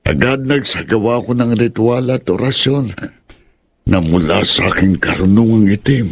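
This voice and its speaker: An actor playing a remorseful older village sorcerer in a horror audio drama, with a husky voice. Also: male voice, fairly low pitch, animated, moderate pace, no echo. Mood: remorseful, solemn.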